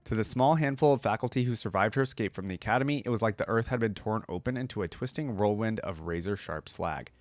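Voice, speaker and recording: A man with a fairly low voice, recorded close and dry. He hosts reaction videos, moving between reading narrative text aloud and offering casual, conversational commentary. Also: fast, few pauses, dry recording.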